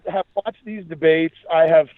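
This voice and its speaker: Guy on a phone line going off with opinions, kinda high voice, slangy and emphatic. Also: steady tone, moderate pace, no room sound. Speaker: podcast guest, musician and writer. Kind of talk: animated ranting conversation.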